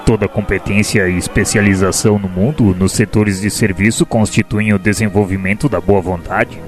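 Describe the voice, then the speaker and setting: A man playing a resolute, encouraging spirit mentor in a radio drama, speaking in a formal, measured, instructive way. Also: fairly low pitch, fairly even intonation, lightly acted. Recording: prominent background music.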